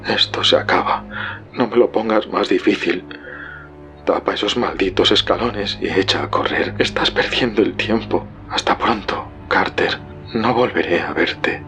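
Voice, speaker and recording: A man's voice: fairly low pitch, a little slow, pushed and bright, soft background music, pitched above the performer's own voice. A man voicing a doomed, desperate man in a horror audiobook, trembling with fear and urgency. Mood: resigned, urgent. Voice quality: trembling, breathy whisper rising to cries. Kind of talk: dramatic horror audiobook acting.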